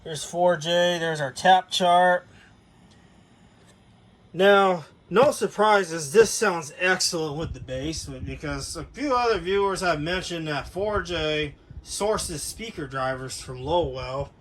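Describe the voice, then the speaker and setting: A man's voice: on the high side, slow pace, fairly bright tone. An American man giving a casual, unscripted product overview as a hobbyist, explaining things in a rambling, matter-of-fact way. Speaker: hobbyist video creator. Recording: clearly noisy room ambience.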